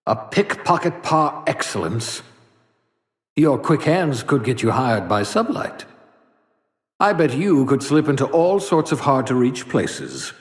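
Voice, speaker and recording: An actor playing an eccentric, drily teasing scientist in a video game, with wry, theatrical delivery. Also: male voice, medium pitch, expressive, measured pace. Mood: wry, appraising. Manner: wry, theatrical, backhanded praise. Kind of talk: video game character one-liners. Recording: echoey hall-like reverb on voice.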